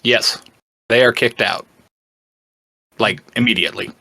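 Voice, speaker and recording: Man in casual, halting explanation, close dry sound. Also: mid-range voice, bright, forceful tone, no echo, rough recording. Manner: halting, searching for words, often chuckling. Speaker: podcast guest and fan explaining a book series. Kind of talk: casual explanatory podcast chat.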